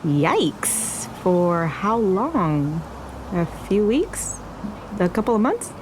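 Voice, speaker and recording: Lively, blunt young woman. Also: lowish voice, drawn-out words, slight background noise, heavily acted, low-quality recording. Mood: startled. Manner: blunt, teasing. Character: blunt, passionate young Mexican-American restaurateur, teasing. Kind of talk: naturalistic fiction podcast acting.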